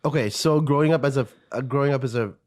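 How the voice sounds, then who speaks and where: A man's voice: medium pitch, fast talker, darkish tone. A Filipino American man, a podcast co-host whose first language was Tagalog, telling stories in a loose, laid-back way. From United States. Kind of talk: casual chat.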